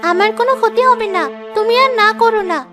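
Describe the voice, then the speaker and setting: A very high-pitched young fairy princess from a children's cartoon. She is headstrong and pleading, a girlish voice over clear background music. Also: quick pace. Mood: pleading, insistent.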